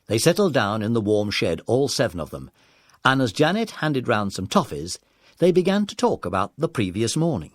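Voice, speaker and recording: Lively male storyteller, dry studio sound. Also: medium pitch, moderate pace, no echo. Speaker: narrator. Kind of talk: scripted children's audiobook narration.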